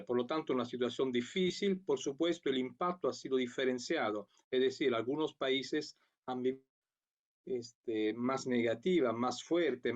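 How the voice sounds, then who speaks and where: A man from Italy with an Italian accent, giving a conference talk as a program coordinator over a video call, speaking in a measured way with frequent fillers and restarts. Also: medium pitch, dull audio. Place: at home or office, remote.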